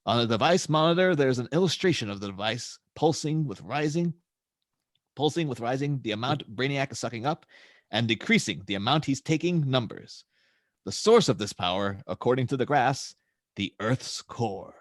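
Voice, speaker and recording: A man's voice: medium pitch, expressive, normal speed, dry recording, clean studio sound. A man narrating scene descriptions and stage directions for a script table read.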